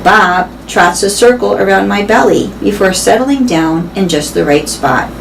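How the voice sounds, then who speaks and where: A woman with a mid-pitched voice reads a children's novel aloud. She narrates it as a storyteller. There is faint noise and room ambience behind her. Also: a little slow, recorded from a distance, low-quality recording. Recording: clear background noise.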